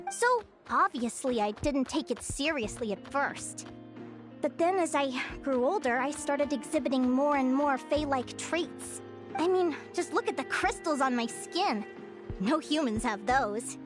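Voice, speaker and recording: A woman playing an earnest, bookish young fae naturalist who tells her story in an articulate, thoughtful way, as video game dialogue. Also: high-pitched.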